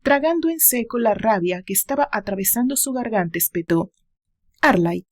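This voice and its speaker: A woman's voice: a little high, lively intonation, brisk, darkish tone. Mexican woman reading romance fiction aloud. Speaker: audiobook narrator and channel host. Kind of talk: dramatic reading of a romance story with chatty recap.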